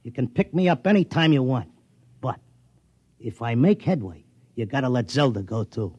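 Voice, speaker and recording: An actor playing a brash, slangy racetrack tipster who also narrates, in a 1950s radio drama. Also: male voice, mid-range voice, big pitch swings, normal speed, darkish tone, breathy edge, no echo, dull audio. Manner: streetwise and slangy. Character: brash fast-talking racetrack tipster, middle-aged man. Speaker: narrator and lead.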